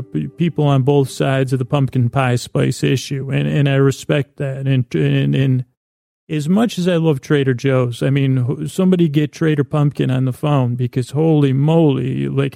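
A man hosting a podcast with a rambling, whimsical bedtime monologue, in a creaky voice, meandering and halting as he repeats and doubles his words. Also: medium pitch, steady tone, warm tone, no echo, studio quality. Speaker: podcast host.

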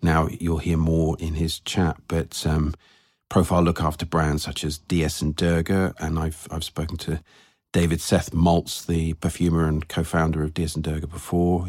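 A man's voice: deep voice, darkish tone, dry recording, clean studio sound. A man hosting an interview podcast, asking friendly questions with lots of fillers. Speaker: podcast host. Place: guest's office.

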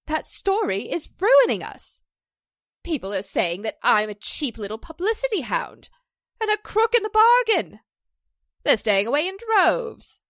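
A woman playing a distressed, pleading stage actress in a 1940s-style radio drama, halting and emotional. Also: high voice, huge pitch swings, fairly bright tone, no room sound, studio quality, heavily acted. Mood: indignant, pleading. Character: distressed stage actress accused of a publicity stunt, pleading, wounded.

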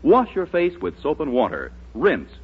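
Very animated 1940s male radio announcer. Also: on the high side, normal speed, breathy edge. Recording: muffled old radio recording with faint noise.

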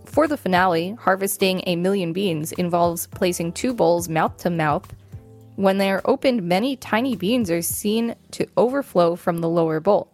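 A woman's voice: lowish voice, normal speed, a little mellow, faint music behind. A female podcast co-host reading an encyclopedia article aloud in a casual, informal setting.

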